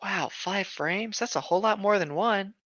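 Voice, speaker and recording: A man reads aloud as a comedy co-host, breaking off for casual, mocking commentary full of swearing. His voice is bright and forceful. The recording is dry and close. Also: sits high, moderate pace, no room sound, dull audio. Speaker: co-host of a comedy reading show.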